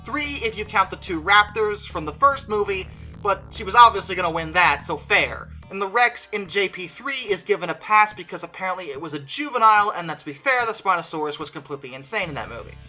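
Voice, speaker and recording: Video commentator with a bright, forceful voice at middling pitch, conversational and opinionated, leaning on key words, music underneath. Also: expressive, a little fast. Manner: emphatic, conversational, stretches stressed words. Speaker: video creator and host. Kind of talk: opinionated movie review commentary.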